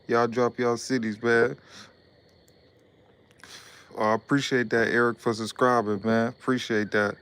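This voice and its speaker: A young man hosts a casual live stream. He talks in a loose, repetitive way as he reads out viewer comments. His voice is smooth and clean, and it stays fairly flat and even in pitch. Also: measured pace, pauses often. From Detroit, USA.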